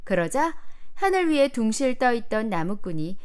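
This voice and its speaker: A woman narrating a children's storybook in an expressive, careful storytelling manner meant for young children. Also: fairly high pitch, normal speed, clear, resonant tone. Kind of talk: animated children's storybook reading.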